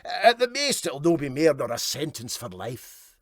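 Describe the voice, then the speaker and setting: Self-righteous Scottish engineer, acted by a man, emphatic, needling, raised pitch, lively comic storybook delivery. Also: normal speed, dry recording, studio quality. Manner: emphatic, scornful. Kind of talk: comic storybook character reading.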